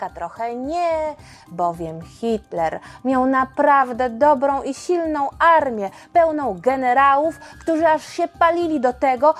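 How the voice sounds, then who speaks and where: A woman narrating a history story for children in speech, expressive and colloquial, addressing her listeners directly. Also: a little high. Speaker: storyteller and podcast host for children. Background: music.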